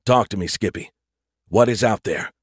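A man's voice: fairly low pitch, normal speed, breathy edge, dry recording, studio quality. A man voicing a blunt, commanding military starship captain in an audiobook, urgent and sarcastic. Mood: wary.

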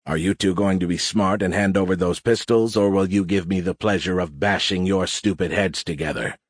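A man's voice: low-pitched, normal speed, breathy edge, no room sound, studio quality. A man voicing a hulking, seasoned alien warrior sergeant in an audiobook, sneering, fearless and taunting. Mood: calm, menacing. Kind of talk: audiobook character acting.

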